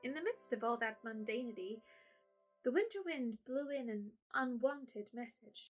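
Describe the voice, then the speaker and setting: Expressive woman narrating a story. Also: fairly high pitch, normal speed, soft background music.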